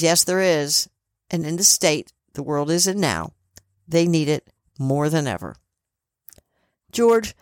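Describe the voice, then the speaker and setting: A woman with a loud voice hosts in a chatty, playful way and reads parts from a script. Her voice sits a little low. The recording is dry and close. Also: dry recording. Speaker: podcast co-host and spirit communicator. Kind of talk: casual chat and reading from a script.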